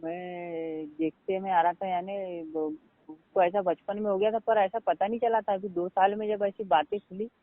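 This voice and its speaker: A man in his early twenties calling for health advice, talking informally and haltingly over a phone line. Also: sits high. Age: early 20s. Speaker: caller seeking health advice. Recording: tinny band-limited phone call.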